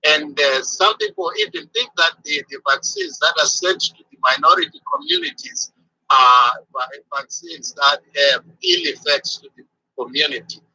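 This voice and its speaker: Hesitant older doctor from Zimbabwe, husky. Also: male voice, a little high, sing-song, normal speed, pushed and bright, rough recording. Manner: hesitant, many fillers and restarts. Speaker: physician guest. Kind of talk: interview answers.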